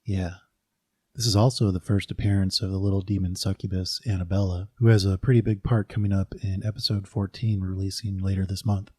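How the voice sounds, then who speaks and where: A man introducing audio drama episodes as their producer, reading a scripted announcement with deadpan asides. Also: low-pitched, fairly quick, slightly rough, no room sound, clean studio sound.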